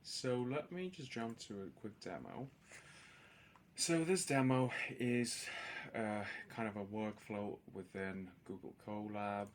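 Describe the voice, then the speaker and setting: A man with a low, gravelly voice walks through a software demonstration as an informal tutorial. He speaks haltingly, pausing often to fill gaps as he explains each step. A faint noise sits behind his voice. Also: lively intonation. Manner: hesitant, halting explanation with many filled pauses. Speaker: presenter of a software demo. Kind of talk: screen-share tutorial narration, unscripted.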